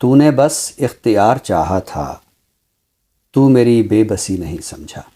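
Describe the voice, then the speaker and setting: A Pakistani man speaking formally and deliberately as a literary commentator, with emphatic, repeated phrasing. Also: on the low side, very animated, mellow. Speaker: literary commentator on a talk show. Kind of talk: measured, formal literary discussion and poetry recital.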